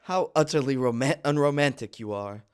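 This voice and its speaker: A man reading the part of an earnest, easily exasperated upper-class gentleman in love, in a theatrical, lofty manner for a stage comedy. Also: medium pitch, normal speed, clean tone, lightly acted. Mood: lovestruck, lofty.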